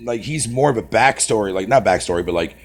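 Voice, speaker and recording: A man's voice: on the low side, expressive, fast. A man with a Canadian accent chatting casually on a live stream, swearing a lot and laughing often. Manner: profane, rambling, laughs often.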